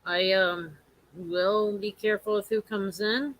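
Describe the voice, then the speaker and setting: A woman whose voice is going hoarse from allergies chats on a casual home live stream. She speaks in a halting, earnest way, circling back and repeating her points as she talks to viewers. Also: mid-range voice, steady tone, fairly bright tone, no room sound, rough recording.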